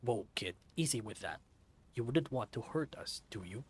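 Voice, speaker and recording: A man's voice: mid-range voice, expressive, normal speed, breathy edge. A man voicing a big creature henchman in a visual novel, casual and reluctant yet menacing. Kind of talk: visual novel character acting.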